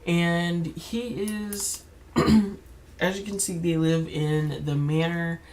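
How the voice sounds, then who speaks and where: A young woman with a slightly raspy voice, chatting casually while playing a life-sim game, rambling with many fillers and restarts. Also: lowish voice, flat delivery, takes it slowly, darkish tone. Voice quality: slightly raspy, keeps clearing throat. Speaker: gaming YouTuber. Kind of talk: casual gameplay commentary.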